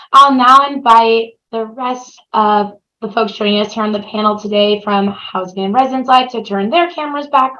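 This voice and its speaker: A woman's voice: on the high side, pushed and bright, low-quality recording. A woman moderating a webinar Q&A, upbeat as she reads out questions and hands them to the panel. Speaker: session moderator.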